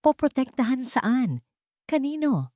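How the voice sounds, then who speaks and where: Worried dad in a romantic audio story, read by a woman: doubtful, questioning, expressive pitch movement. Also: a little slow, no echo, studio quality. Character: doubting, worried well-off father in a romantic drama.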